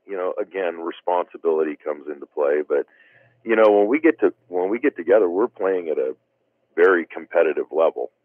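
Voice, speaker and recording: Deep-voiced man on a tinny phone line. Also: a little fast, dry recording. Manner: rambling, many "you know" fillers. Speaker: guest wiffle ball player. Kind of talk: casual interview chat.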